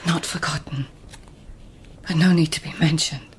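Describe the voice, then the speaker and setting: An actress playing a noblewoman court writer in labour, speaking in a hushed, confiding whisper in a radio drama. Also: low voice, fairly even intonation, normal speed, breathy edge.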